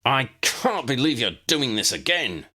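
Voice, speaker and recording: A man's voice: mid-range voice, sing-song, unhurried, husky, voice pushed up, heavily acted. A man voicing a shocked, indignant son confronting his villain father in a fantasy audiobook. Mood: furious. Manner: gasping, shouting indignation.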